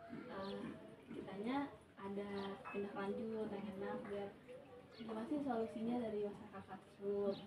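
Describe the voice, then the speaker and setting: Hesitant young woman, echoey distant recording. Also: mid-range voice. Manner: hesitant, many filler sounds. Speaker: counseling student playing counselor. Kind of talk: role-played counseling session. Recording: echoey room, distant mic, noise.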